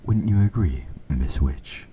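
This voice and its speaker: A man playing an evil, possessive king in an audio roleplay, speaking formally and with measured, quiet menace, his S sounds sharp. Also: low voice, expressive, a little hiss or hum. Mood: quietly menacing. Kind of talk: scripted audio roleplay, intimate dramatic monologue.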